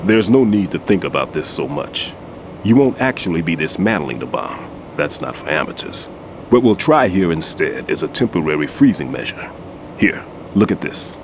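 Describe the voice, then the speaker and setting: An actor playing an older male bomb disposal expert, a patient mentor who explains things in a measured, instructive way in a game cutscene. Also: deep voice, big pitch swings, noisy background. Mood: calm instructive. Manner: instructive, reflective. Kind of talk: video game cutscene dialogue. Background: music score.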